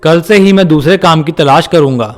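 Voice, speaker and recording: A man's voice: a little high, normal speed, soft background music, lightly acted. A man playing an earnest, plain-spoken father pigeon in a children's cartoon. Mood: worried. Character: earnest, worried father pigeon, family breadwinner.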